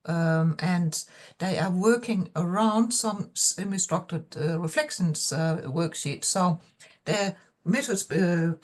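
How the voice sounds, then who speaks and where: Danish-accented woman giving a seminar talk on a video call, lots of ums and pauses, lowish voice. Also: darkish tone. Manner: hesitant, with many pauses and fillers. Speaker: professor presenting research. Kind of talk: seminar presentation.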